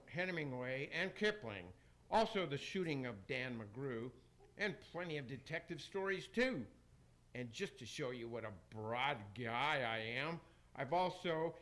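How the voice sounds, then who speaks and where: A man's voice: on the high side, expressive, unhurried, a bit bright. A man acting a pompous, scheming Roman emperor from hell in a rhymed radio play, declaiming theatrically. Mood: menacing, boastful. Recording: faint noise, amateur radio broadcast.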